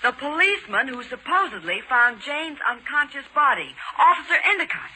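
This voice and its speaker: An actress playing an eager, quick-witted American detective's assistant, dramatic and expressive in 1940s radio style. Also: female voice, high voice, full character voice. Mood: eager, triumphant. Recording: noisy 1940s radio recording.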